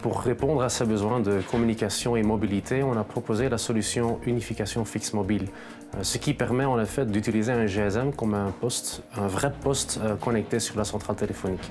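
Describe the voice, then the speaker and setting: A man's voice: lowish voice, a little fast, darkish tone. A man explaining a product in a matter-of-fact way, as a specialist in a corporate testimonial. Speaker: telecom solution specialist. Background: background music.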